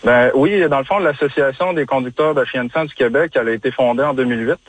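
A young man from Quebec with a Quebec French accent, talking casually as a radio guest, halting with many pauses and restarts. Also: mid-range voice, dry recording. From Chaudière-Appalaches, Quebec. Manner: halting, frequent hesitations and restarts. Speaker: guest, bloodhound handler.

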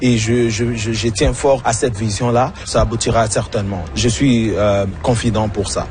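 A man's voice: lowish voice, steady tone, normal speed, music in the background. A man, answering interview questions earnestly and repeating words as he searches for them. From Democratic Republic of the Congo. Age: 30s. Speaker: municipal candidate.

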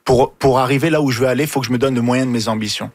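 A man's voice: medium pitch, rapid-fire, darkish tone, no echo. Brief: male host, friendly long questions, dry sound. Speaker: interviewer, host. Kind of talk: radio-style interview.

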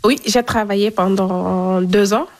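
A woman's voice: medium pitch, fairly even intonation, unhurried. A young woman being interviewed, giving a casual, personal account of her own life. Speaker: interviewee in a radio documentary.

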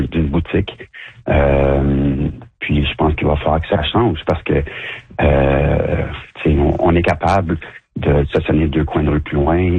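A man's voice: very deep voice, slightly rough, no room sound. A man from Montreal with a Quebec French accent, a downtown shop owner talking as a radio interview guest, hesitant with many restarts and fillers. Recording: thin phone-like radio line.

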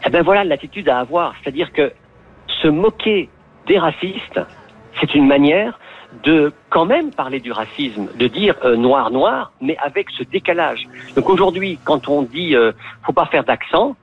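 A man's voice: fairly high pitch, animated, normal speed, slightly rough. A man in a conversational radio discussion, arguing with rhetorical questions. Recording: dull lo-fi radio recording.

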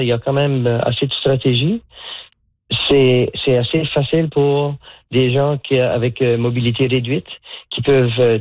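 A man's voice: mid-range voice, no echo. Older man on a tinny phone line. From Moncton, Canada. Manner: hesitant, many fillers and restarts. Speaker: guest, pickleball coach. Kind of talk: radio phone interview.

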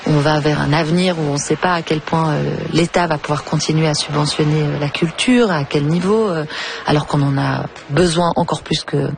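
A woman's voice: low-pitched, fairly even intonation, normal speed, gravelly, background noise. A woman answering an interview in an earnest, advocating tone. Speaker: comedian and association representative.

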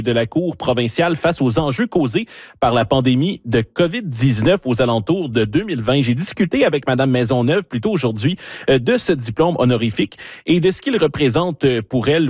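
A man's voice: mid-range voice, darkish tone, dry recording, studio quality. A man with a Canadian French accent working as a news interviewer, asking conversational questions with some hesitant rephrasing.

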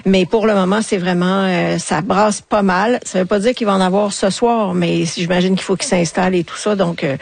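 A man with a high, creaky voice and a Quebec French accent. He gives halting, unscripted weather commentary on live radio. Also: steady tone.

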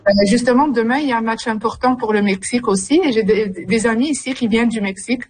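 A young woman, speaking as a journalist guest in an interview, with many pauses and fillers. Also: a little high, husky. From Morocco. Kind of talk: radio interview.

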